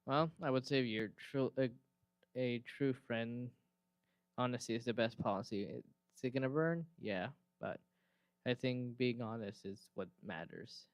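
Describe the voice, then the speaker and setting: A man's voice: mid-range voice, unhurried, smooth, dry recording. Candid man answering on a panel.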